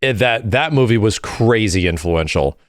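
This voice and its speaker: A man's voice: on the low side, lively intonation, normal speed, no room sound, studio quality. A man co-hosting a podcast, chatting and adding commentary in a casual way. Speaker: podcast host.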